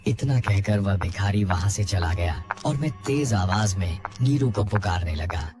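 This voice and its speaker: A man with a fairly low voice narrates a horror tale. He delivers it as scripted first-person storytelling over soft background music. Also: expressive, a little slow.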